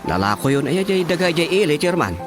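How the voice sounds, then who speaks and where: A man's voice: fairly high pitch, sing-song, brisk. An actor playing a chatty, deferential village man with a Filipino accent, hesitant and repetitive, in a comedy radio drama. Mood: agreeable. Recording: radio drama with music underneath.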